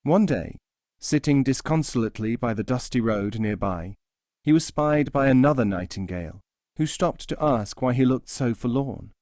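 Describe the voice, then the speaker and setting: A man reads traditional teaching tales as an audiobook narrator. His voice sits at a middle pitch, and the recording is dry with very little room sound. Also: lively intonation, normal speed, a little mellow, dry recording.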